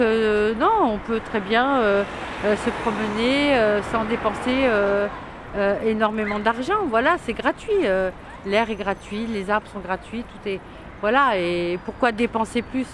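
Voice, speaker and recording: Hesitant woman over loud street traffic. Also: a little high. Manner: hesitant, many filler pauses. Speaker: interviewee. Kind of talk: street interview. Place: outdoors on a city street. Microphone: handheld camera held close.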